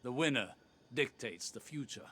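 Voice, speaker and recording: An actor playing a shrewd, pragmatic adviser in a video game, reasoning formally and persuasively. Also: male voice, mid-range voice, lively intonation, moderate pace, sharp tone, husky. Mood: measured urgency. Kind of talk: video game dramatic voice acting.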